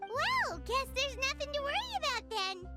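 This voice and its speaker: Casting: very high-pitched little fairy sidekick for a game, curious, loyal, squeaky, highly animated delivery. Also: female voice, normal speed, over music. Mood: earnest. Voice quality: squeaky, childlike. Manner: refers to self in third person, exclamatory. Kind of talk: animated video game voice acting.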